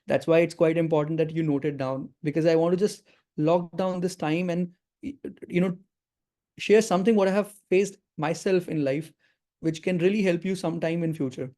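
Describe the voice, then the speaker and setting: A man's voice: on the high side, steady tone, normal speed, dark tone. A man hosting a live webinar, giving career advice in an earnest way, often repeating words and checking in with his listeners. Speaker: webinar host and career coach. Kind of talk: live webinar talk to an audience.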